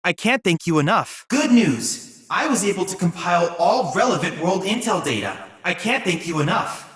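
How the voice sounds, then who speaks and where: Young android boy researcher, video game dialogue, earnest, precise, polite, animated intonation, close dry sound. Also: mid-range voice, normal speed, slightly rough, no echo, exaggerated voice. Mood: pleased, grateful. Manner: formal, precise, courteous, earnest.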